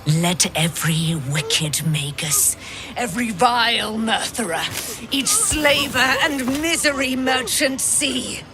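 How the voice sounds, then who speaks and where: Proud immortal female paladin, grandiose, formal, unhurried declamation, fantasy game, noisy ambience behind. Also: a little high. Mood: triumphant fury. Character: proud, indomitable immortal warrior paladin of moon goddess. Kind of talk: dramatic video game acting. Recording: clear ambient noise.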